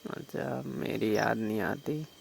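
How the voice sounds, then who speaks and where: Very creaky, flirtatious village man acted by a man. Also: lowish voice, slow, slight background noise, exaggerated voice. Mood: probing, flirtatious. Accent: Rajasthani. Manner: coaxing, persistent, informal. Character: flirtatious, pushy village brother-in-law coaxing on night call. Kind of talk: staged phone-call role-play in casual talk.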